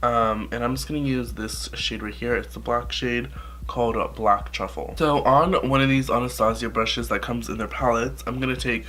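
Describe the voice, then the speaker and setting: A man's voice: mid-range voice, steady tone, normal speed, fairly bright tone. A young man with a stuffy, nasal voice from a cold, chatting loosely through a makeup tutorial into his camera, swearing and saying "like" constantly. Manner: rambling, profane, filler-heavy. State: sick, nasal. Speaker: makeup vlogger.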